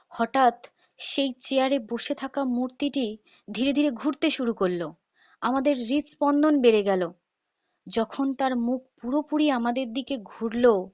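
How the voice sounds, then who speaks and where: A woman's voice: a little high, no echo. Tinny, phone-like woman narrating ghost stories. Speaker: horror story narrator. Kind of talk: scripted first-person ghost story narration. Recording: tinny phone-like narrow band.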